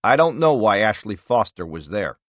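A man's voice: on the low side, very animated, normal speed, no room sound. A man voicing a weary spy agency director in his late fifties who picks his words carefully. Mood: guarded. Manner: careful, restrained, frustrated.

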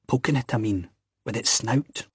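A man's voice: mid-range voice, moderate pace, breathy edge, dry recording, clean studio sound. A man voicing an earnest, cheeky young Scottish man in an audiobook, blunt and insistent. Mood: indignant banter.

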